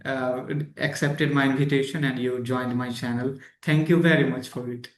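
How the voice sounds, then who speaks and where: A man's voice: mid-range voice, level tone, moderate pace, reverberant. A man hosting a casual interview, asking questions hesitantly with many fillers. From India. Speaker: channel host.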